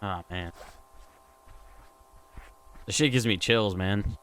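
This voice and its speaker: Low, clear-voiced guy, casual and sweary. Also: takes it slowly, fairly bright tone. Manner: profane, slangy. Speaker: YouTuber reacting to horror videos. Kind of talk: casual reaction commentary.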